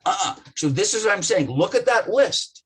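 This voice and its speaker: A man with a rough voice talking passionately and bluntly in a casual business discussion, swearing often. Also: high voice, animated, normal speed, fairly bright tone.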